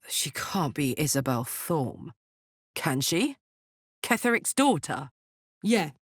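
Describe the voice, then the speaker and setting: An actress voicing a blunt, battle-hardened but warm-hearted woman warrior in a video game. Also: low-pitched, no echo, clean studio sound. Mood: low, uneasy. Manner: blunt, swearing, swinging between playful and intense. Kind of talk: video game character voice acting.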